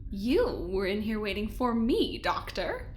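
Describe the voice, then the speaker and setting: A woman's voice: medium pitch, huge pitch swings, measured pace, slight background noise. A woman playing a breezy, teasing, condescending supervisor in an audio drama, arch and mock-sweet. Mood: arch, needling. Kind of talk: naturalistic audio drama acting.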